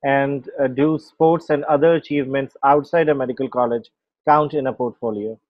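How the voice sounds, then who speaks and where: A man's voice: medium pitch, fairly even intonation, moderate pace, no echo, dull audio. A man moderating a webinar, reading out audience questions in a brief, matter-of-fact way.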